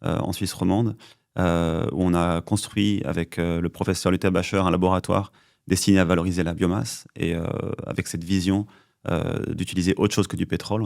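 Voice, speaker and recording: Low gravelly man explaining patiently. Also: a little mellow, dry recording, clean studio sound. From western France. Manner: measured, explanatory, hesitant fillers. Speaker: company co-founder, chemist. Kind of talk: podcast interview answers.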